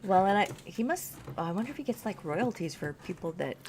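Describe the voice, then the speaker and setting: A woman's voice: mid-range voice, sing-song, brisk, a little mellow, slight background noise. A woman from Canada co-hosting a casual livestream chat at an off-grid cabin, reading viewer comments and laughing often. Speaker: livestream co-host.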